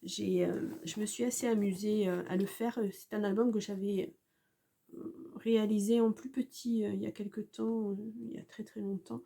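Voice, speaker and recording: Female crafter, sick with a cold, husky voice, chatty and halting. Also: mid-range voice, fairly even intonation, warm tone. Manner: chatty, hesitant, many fillers, apologetic. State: has a cold, congested. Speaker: scrapbooking crafter. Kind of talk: casual craft show-and-tell.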